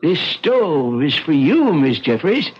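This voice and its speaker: A man's voice: on the high side, very animated, takes it slowly, slightly rough, heavily acted. An actor playing a courteous but sinister old man, speaking formally and cryptically in an old-time radio mystery. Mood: courteous, cryptic. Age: older. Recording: muffled, noisy vintage radio recording.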